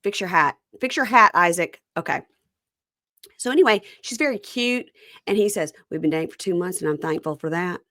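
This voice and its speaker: A woman's voice: lowish voice, brisk, dry recording, studio quality. A woman from Mississippi with a Southern accent, hosting a casual reality TV recap podcast and wandering off on chatty tangents. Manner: chatty and animated, jumping between tangents. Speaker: podcast host.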